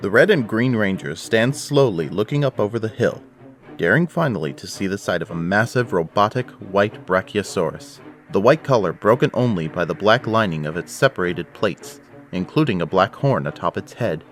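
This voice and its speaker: A man's voice: fairly low pitch, lively intonation, normal speed, soft background music. A man delivering scripted, dramatic third-person narration for an audio drama.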